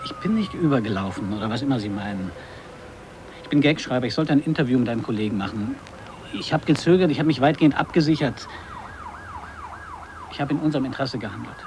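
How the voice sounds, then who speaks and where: An actor playing a defiant, bitter gag writer who argues emphatically in a radio drama. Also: male voice, mid-range voice, expressive, fast talker, pauses often, background noise, close to an everyday voice. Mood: pleading, defensive. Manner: emphatic, argumentative. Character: defiant, bitter male gag writer, agitated and self-justifying. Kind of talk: 1980s German radio drama acting.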